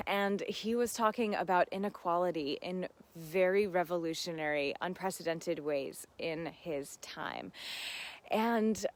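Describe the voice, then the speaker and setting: A woman hosting a casual live Q and A, chatting earnestly and reflectively to listeners as friends, often with laughter in her talk. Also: lowish voice. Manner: laughs often while talking. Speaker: host of a live Q and A. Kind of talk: casual livestream chat with reflective asides.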